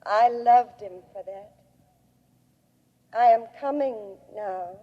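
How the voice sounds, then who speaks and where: High-voiced, solemn alien woman, cavernous reverb. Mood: grieving, solemn. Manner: slow, solemn, measured delivery. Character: grieving alien woman of a hidden species, tender, proud. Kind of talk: 1950s radio drama acting. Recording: muffled old radio recording, long cavernous reverb.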